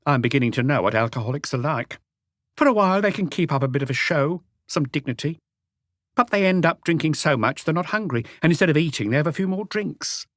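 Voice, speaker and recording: A man's voice: on the high side, animated, fast, a bit bright, no room sound, studio quality, voice pushed up. A man voicing a defiant, impatient woman who is blunt, scornful and unapologetic, in an audiobook story. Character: defiant, impatient woman, proud of her lover.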